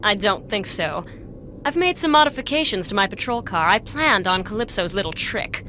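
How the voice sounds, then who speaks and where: An actress playing a determined patrol officer in a video game, earnest, emphatic and dramatic. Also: female voice, medium pitch, expressive, normal speed, bright, forceful tone. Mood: defiant. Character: determined female patrol officer seeking her brother. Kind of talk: video game cutscene acting. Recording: clear background noise.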